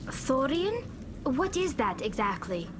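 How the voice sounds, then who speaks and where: An actress playing a calm, studious female alien scientist in a video game, measured and analytical. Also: high voice, background noise, exaggerated voice. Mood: calm, thoughtful.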